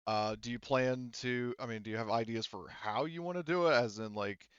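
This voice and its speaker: Guy game mastering a tabletop rpg, kinda rambly and informal, clean smooth voice, close dry dull sound. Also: mid-range voice, moderate pace, dry recording.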